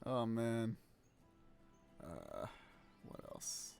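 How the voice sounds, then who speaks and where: Lively, laughing male game streamer. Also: on the low side, extremely slow, vocal fry, soft background music. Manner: laughs often, swears casually, narrates his play. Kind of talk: casual live-stream chat.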